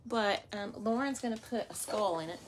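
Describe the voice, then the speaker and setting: A woman's voice: medium pitch, normal speed, very noisy. A woman, chatting to viewers as she unboxes thrift finds in an indoor workroom. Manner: chatty, addresses viewers as "y'all". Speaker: vlog host. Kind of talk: casual haul unboxing.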